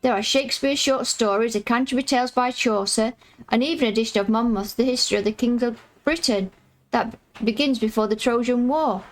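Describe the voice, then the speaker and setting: A woman reading the lines of a prim, polite young girl in a video game, with a croaky voice and formal phrasing. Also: on the high side, brisk, natural voice, low-quality recording.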